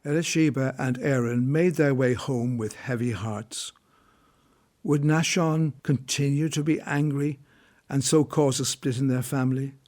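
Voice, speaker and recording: Mid-pitched male story narrator. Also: fairly even intonation, a little slow, darkish tone. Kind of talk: scripted dramatic story narration. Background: faint music.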